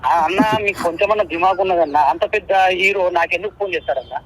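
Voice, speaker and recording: A man's voice: high voice, racing, fairly bright tone. A man chatting casually on a phone call in a skeptical, argumentative manner. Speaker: stranger receiving a prank phone call.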